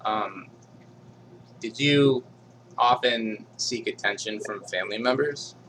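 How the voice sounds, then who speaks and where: A man hosting a casual interview, asking questions in a relaxed, conversational way with frequent fillers. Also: fairly low pitch, slow, poor audio. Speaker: interviewer running typing sessions. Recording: faint hum behind.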